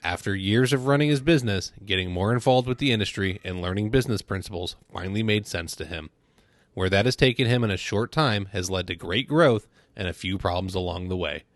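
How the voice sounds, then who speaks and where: Podcast host, male, American, lowish voice, very dry sound. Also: brisk, dry recording. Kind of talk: podcast interview with a read introduction.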